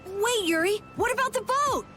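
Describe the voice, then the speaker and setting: Very high-pitched eager young boy played by a woman. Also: animated, moderate pace, music in the background. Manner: earnest, boyish. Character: eager, naive young boy adventurer, excitable. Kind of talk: video game dub acting.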